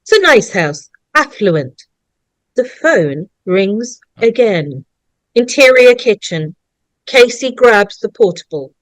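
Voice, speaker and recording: A woman's voice: a little high, sing-song, slow, no room sound. A woman reading stage directions as the narrator of an online script read-through. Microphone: video call microphone.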